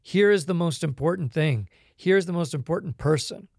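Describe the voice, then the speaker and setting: Canadian man telling his story, slightly higher voice. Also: a little fast, gravelly, a little mellow, no echo, clean studio sound. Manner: reflective storytelling with frequent ums. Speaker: guest. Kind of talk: conversational interview.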